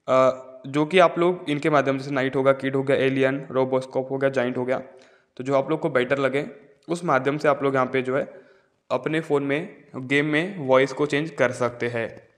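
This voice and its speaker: A man with a mid-pitched voice narrates a casual how-to for phone users. He explains things step by step, often repeating himself as he instructs. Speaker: tech support YouTuber.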